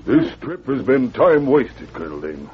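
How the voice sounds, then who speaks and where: A man's voice: mid-range voice, sing-song, unhurried. An actor playing a pompous, dismissive congressman from the East in a 1950s radio western. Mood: haughty, dismissive. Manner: pompous, self-important. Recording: muffled old radio recording with hiss.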